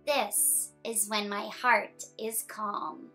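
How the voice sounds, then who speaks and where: A young woman hosting children's story time, reading a picture book aloud in an animated, expressive way. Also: mid-range voice, drawn-out words, faint music behind. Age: young adult.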